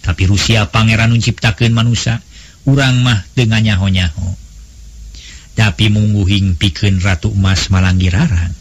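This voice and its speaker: Low husky Sundanese male storyteller. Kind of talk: dramatized folk tale narration.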